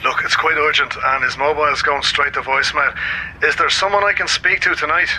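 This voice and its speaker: An actor playing an anxious, insistent news source calling on the phone in a radio play. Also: male voice, a little high, steady tone, fairly quick, sharp tone, slight background noise, poor audio. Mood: anxious, urgent. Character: anxious, urgent male news source on telephone. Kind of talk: naturalistic radio drama acting.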